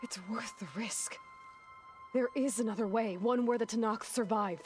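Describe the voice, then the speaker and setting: A woman's voice: fairly high pitch, moderate pace, close to an everyday voice. Woman playing a determined young heroine, intense, over music. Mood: urgent, defiant. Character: determined young woman hero, earnest, defiant, compassionate. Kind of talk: dramatic video game dialogue. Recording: background music under the voice.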